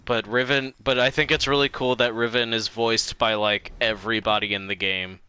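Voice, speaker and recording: A man's voice: fairly low pitch, flat delivery, normal speed, sharp tone, clean tone. A man chatting casually while livestreaming a game, rambling and circling back over his own sentences. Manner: rambling, easily distracted, repeats himself.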